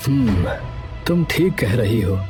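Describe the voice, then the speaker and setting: A man's voice: mid-range voice, sing-song, slow, darkish tone, faint music behind. A man voicing an honest, kindly village potter who talks earnestly, in a children's cartoon. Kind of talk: Hindi children's cartoon voice acting.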